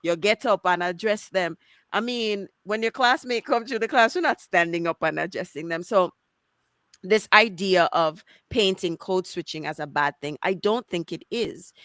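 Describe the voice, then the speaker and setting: A woman's voice: fairly low pitch, normal speed, fairly bright tone, no echo. A Jamaican woman hosting a conversational interview, talking animatedly and slipping into patois. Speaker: podcast host.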